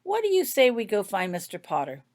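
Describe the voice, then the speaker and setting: A woman voicing a calm, kindly magical healer with a measured, instructive manner in a storybook reading. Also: medium pitch, voice pushed up. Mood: calm, encouraging.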